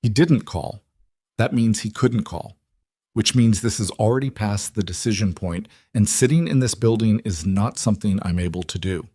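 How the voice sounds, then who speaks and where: A man's voice: on the low side, normal speed, warm tone, breathy edge, dry recording, clean studio sound. A man voicing an anxious but resolute young woman in an audiobook drama, speaking with urgency. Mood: resolute, insistent.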